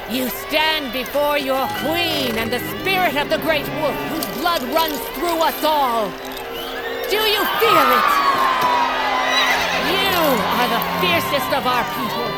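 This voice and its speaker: An actress playing a fierce warrior queen, rallying her hunters with imperious, declamatory oratory in an audio drama. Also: high-pitched. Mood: rousing, fierce. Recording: loud music and crowd behind the voice.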